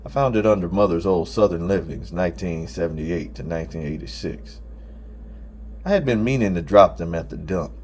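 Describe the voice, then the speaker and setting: A man with a fairly low voice narrates a story in the first person. He reads as an audiobook narrator, telling it from a script. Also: fairly quick, a little mellow, slight background noise.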